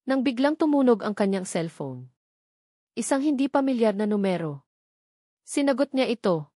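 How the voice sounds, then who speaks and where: A woman's voice: on the high side, sing-song, moderate pace, warm tone, no echo, studio quality. Woman narrating a romance story.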